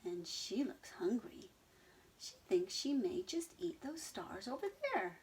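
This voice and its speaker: A woman reading a storybook aloud and voicing a bossy, excitable female cow who moos her lines. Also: medium pitch, a little slow, a little hiss or hum. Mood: excited. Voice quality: mooing, breathy. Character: excitable farm cow, bossy and pleased with herself.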